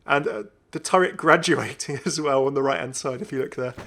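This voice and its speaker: A man's voice: medium pitch, a little fast, gravelly, fairly bright tone. A man hosting a gaming video, commenting casually and enthusiastically as he thinks aloud. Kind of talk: casual game update commentary.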